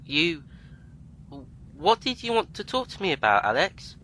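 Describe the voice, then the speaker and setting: A shy young man with a bright, lively voice , voiced by a man. He talks softly and hesitantly at first, then turns eager, his pitch swinging up and down in a fan audio drama. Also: measured pace, a little hiss or hum, exaggerated voice, poor audio. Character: young man, timid, eager son of a commodore.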